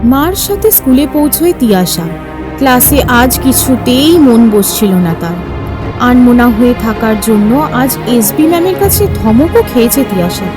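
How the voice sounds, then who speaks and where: A woman's voice: on the high side, animated, measured pace, music in the background. A woman reading scripted narration for an audio story.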